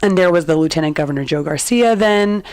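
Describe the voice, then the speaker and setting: A woman's voice: fairly low pitch, fast, darkish tone, clean tone, dry recording. Chatty woman guest, dry close sound. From United States. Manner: eager, chatty, many fillers. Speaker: nonprofit executive director, guest. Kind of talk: conversational interview answers.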